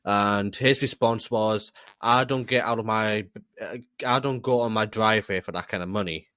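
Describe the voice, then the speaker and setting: Low-voiced man telling a story. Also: normal speed, pushed and bright, clean tone, no room sound. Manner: hesitant, many ums, giggly. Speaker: podcast co-host. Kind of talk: casual podcast storytelling.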